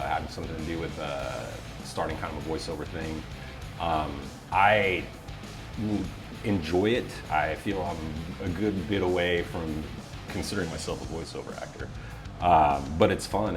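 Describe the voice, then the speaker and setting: A very low-pitched American man talks casually as an interview guest. He is wry and quick to poke fun at himself, and guitar music plays clearly behind him. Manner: self-deprecating, wry. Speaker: interview guest, office voiceover talent.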